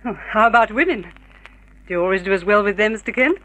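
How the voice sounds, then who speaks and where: A woman's voice: medium pitch, lively intonation, brisk, sharp tone, muffled recording. A woman playing a sly, fun-loving socialite, arch and teasing, in a vintage radio drama. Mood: playful, flirtatious.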